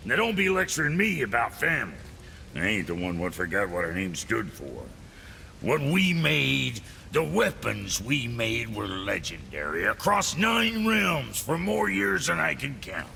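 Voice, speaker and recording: A man playing a gruff, proud dwarf blacksmith in a video game, blunt and folksy with ungrammatical speech. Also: mid-range voice, huge pitch swings, measured pace, pushed and bright, slight background noise, heavily acted. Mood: defensive, irritated. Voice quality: gravelly. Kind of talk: video game character acting.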